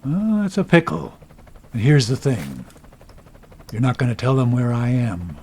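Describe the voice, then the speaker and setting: Wily old woodsman, husky, swinging pitch, stubborn, for radio drama. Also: very animated, measured pace, darkish tone. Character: stubborn, sly old man hiding in the woods.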